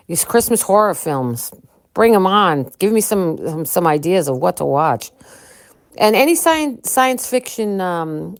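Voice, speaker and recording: A woman co-hosting a podcast, talking casually and excitably to listeners, with lots of ums and restarts. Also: sits low, dry recording. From Chicago. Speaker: podcast co-host.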